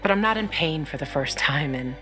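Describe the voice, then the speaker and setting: A young woman fighter in a game scene. Her voice sits fairly low, and she talks frankly with a wry, guarded edge over music. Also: lively intonation, brisk, a bit bright. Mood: candid, reflective. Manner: candid, wry, swearing. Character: tough, candid young woman fighter, guarded but healing. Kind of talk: video game cutscene acting. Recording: music behind the voice.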